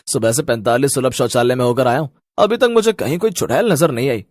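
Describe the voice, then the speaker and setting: A man's voice: medium pitch, lively intonation, a little fast, dry recording, clean studio sound, voice pushed down, close to an everyday voice. A man voicing a cocky skeptic who scoffs and boasts in a Hindi cartoon story. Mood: boastful.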